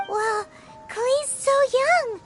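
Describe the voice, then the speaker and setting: A woman's voice: extremely high pitch, very slow, over loud music, heavily acted. A woman voicing a tiny, fussy, inquisitive fairy companion in a video game, with a childish, chattering manner. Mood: thoughtful. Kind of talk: anime video game character acting.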